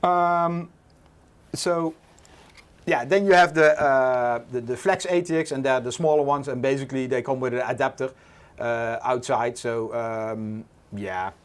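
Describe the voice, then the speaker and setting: A Dutch man in his forties with a Dutch accent, hosting a casual livestream, relaxed and full of "uh" fillers. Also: medium pitch, a little slow, smooth. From Netherlands. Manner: relaxed, many "uh" fillers, reads chat aloud. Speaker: livestream host.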